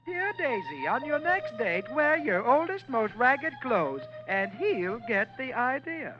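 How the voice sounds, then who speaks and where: A man voicing a prim lady advice host, with drawn-out delivery, in a 1950s radio comic-strip reading. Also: very high voice, sing-song, over music, pitched above the performer's own voice, full character voice. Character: prim female radio agony aunt, slow and sweet. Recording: muffled old radio recording.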